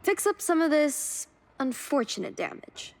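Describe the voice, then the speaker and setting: High-voiced, enthusiastic female car fan. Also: sing-song, a little slow, vocal fry, exaggerated voice. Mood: friendly, practical. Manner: enthusiastic, friendly. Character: excited young female car enthusiast, upbeat barn-find partner. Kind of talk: scripted video game cutscene dialogue. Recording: vehicle and ambient noise behind.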